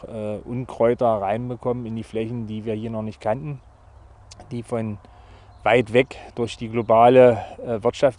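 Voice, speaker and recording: A man with a low, creaky voice and a very flat delivery speaks in an unscripted interview. His tone is dark and matter-of-fact, and he explains haltingly, rephrasing as he goes. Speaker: farmer being interviewed.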